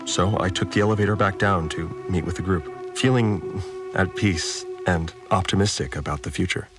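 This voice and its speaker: An actor playing an earnest, devout farmer, reflecting haltingly and with wonder in an audio drama monologue. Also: male voice, sits low, lightly acted. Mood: awed, serene. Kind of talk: dramatic first-person monologue. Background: music.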